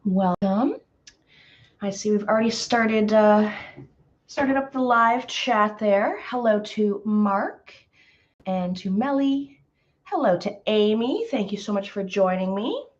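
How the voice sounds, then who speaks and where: Woman a bit hoarse running a live paint-along, chatty, lots of ums, kinda dull lo-fi sound. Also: medium pitch, lively intonation, takes it slowly. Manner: chatty, greets viewers by name, frequent fillers. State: slightly hoarse. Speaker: painting instructor. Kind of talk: live painting tutorial chat.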